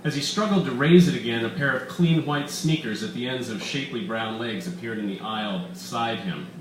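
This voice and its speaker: A man reading his own story aloud with deliberate, dramatic emphasis at a live literary reading in an echoey bar room. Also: mid-range voice. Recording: echoey room, distant mic, faint background noise.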